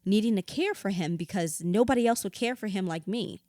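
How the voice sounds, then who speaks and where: A woman's voice: medium pitch, a little fast, darkish tone, no room sound, clean studio sound, natural voice. A woman acting in an audio drama as a grieving widow in therapy, wounded and candid, reflective with a wry edge.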